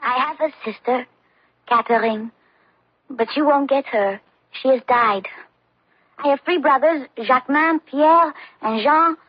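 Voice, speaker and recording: A woman's voice: high-pitched, unhurried, pauses often, husky, dry recording. An actress playing a solemn, steadfast nineteen-year-old French peasant girl, devout and defiant, in a radio drama. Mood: calm, steadfast. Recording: dull lo-fi old radio recording.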